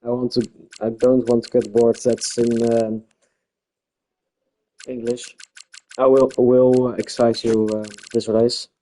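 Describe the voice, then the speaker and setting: A Dutch-speaking man commentating a live-streamed online race league in English, halting and searching for words with many fillers. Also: medium pitch, level tone, dark tone, low-quality recording. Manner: calls himself a bit boring-voiced.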